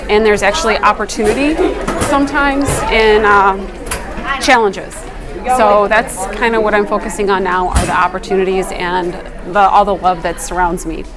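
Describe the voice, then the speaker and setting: A woman's voice: medium pitch, fairly even intonation, moderate pace, music in the background, crowd noise. A middle-aged woman answering interview questions as a teacher and coach, speaking earnestly and reflectively in a conversational way. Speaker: interviewee, high school teacher and track head coach.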